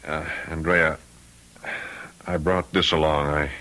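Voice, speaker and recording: A man's voice: extremely low pitch, level tone, slow pace, pushed and bright, exaggerated voice, poor audio. An actor playing an earnest, protective man in an old-time radio mystery, speaking in an insistent, emphatic way. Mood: grave, urgent. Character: earnest, urgent, protective male confidant.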